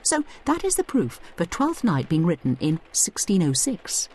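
A woman's voice: on the low side, wildly swooping pitch, moderate pace, darkish tone, husky. A woman narrating a scripted educational audio guide, explaining things conversationally as she addresses the listener directly.

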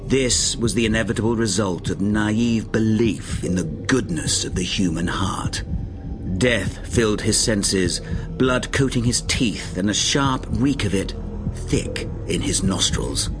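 A man reading dramatic fiction as an audiobook narrator, with a grave, intense and theatrical delivery. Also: fairly low pitch. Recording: music behind the voice. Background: music.

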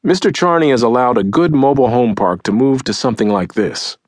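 A man's voice: medium pitch, fairly quick, dry recording, clean studio sound, voice pushed up, natural voice. A man voicing a stern city alderman in an audiobook, speaking formally and pointedly to a council. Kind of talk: audiobook character quote.

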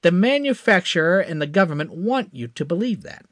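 A man doing an eager young tech whiz. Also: dry recording. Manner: excited, quick, pitched up.

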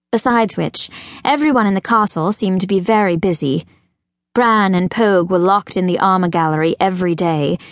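A woman reading a children's fantasy audiobook as narrator, in a measured, expressive storytelling manner. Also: medium pitch, dry recording, studio quality. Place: recording studio.

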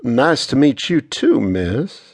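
A man's voice: mid-range voice, very animated, takes it slowly, a little mellow, dry recording, studio quality, heavily acted. A man voicing a friendly, easygoing young Southern man in an audiobook, courteous and folksy with a Southern US accent. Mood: friendly, reassuring.

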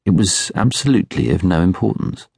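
A British man reading literary fiction as an audiobook narrator, in a measured, reflective way. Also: deep voice, gravelly, a little mellow, breathy edge, no echo, studio quality. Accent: British English. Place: studio.

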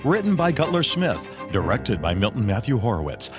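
Lively male radio drama announcer over loud music. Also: mid-range voice, fairly quick. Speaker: announcer for an audio drama troupe. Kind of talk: scripted show introductions over music.

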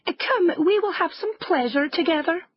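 Woman doing a bossy little storybook hen, perky, high voice, slightly dull recording. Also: animated, moderate pace, no room sound. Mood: agreeable.

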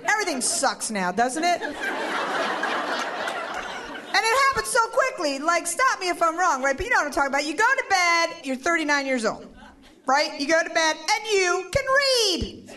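A woman in her forties doing a stand-up comedy routine on stage, animated, shouting her punchlines and slipping into voices. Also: extremely high pitch, moderate pace, heavy background noise. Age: 40s. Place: comedy stage before a live audience. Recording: live show with loud crowd and laughter.

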